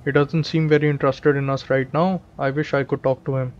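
A man's voice: medium pitch, level tone, brisk, dull audio. A man with an Indian accent, a native Hindi speaker, doing casual gameplay commentary and reading game text aloud. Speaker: gaming video host.

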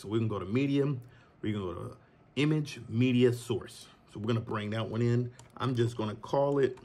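Husky, laid-back man walking through a tutorial. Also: fairly low pitch. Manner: relaxed, chatty, addresses viewers as y'all. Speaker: filmmaker teaching a tutorial. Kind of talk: casual screen-recorded tutorial. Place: green screen studio, then home office. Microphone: phone recording.

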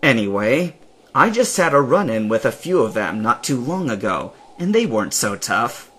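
A man reading a story aloud, voicing a calm, stern hooded teenage stranger in his own voice. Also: mid-range voice, moderate pace, a little hiss or hum.

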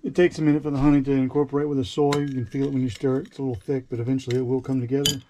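A man in his forties giving a casual, halting, step-by-step cooking demonstration in a small indoor space. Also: mid-range voice, level tone, mellow. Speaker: vlogger, home cook. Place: small boat galley, indoors. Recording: clear background noise, clicks.

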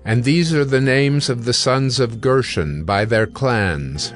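A man reads scripture as the narrator of an audio drama, with a mid-pitched voice. His delivery is measured and formal, and music plays behind him. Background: background music.

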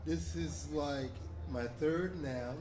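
Slow, earnest man in a convention hall. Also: a little high, music in the background. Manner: unhurried, earnest, chatty storytelling. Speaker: audience member. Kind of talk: spontaneous speech to a panel. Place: large convention hall with audience. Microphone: handheld mic.

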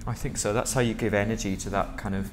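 Man, low voice, hesitant, noisy recording. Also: fairly even intonation. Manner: hesitant, halting with restarts. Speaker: panelist. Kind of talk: panel discussion on fashion.

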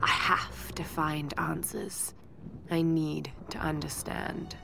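An actress playing a shaken but determined young adventurer, narrating her survival journal in a game with a breathy voice that often drops toward a whisper. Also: low-pitched, fairly even intonation, takes it slowly, exaggerated voice. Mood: resolute, intense. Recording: music behind the voice.

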